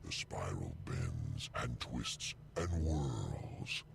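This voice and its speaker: Very deep, gravelly ancient spirit. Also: male voice, very animated, noisy background, exaggerated voice. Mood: ominous. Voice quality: gravelly, breathy growl. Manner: slow, ominous, dramatic. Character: menacing ancient male spirit reciting a dark prophecy. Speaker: prophecy narrator. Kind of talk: theatrical recitation of verse in a video game.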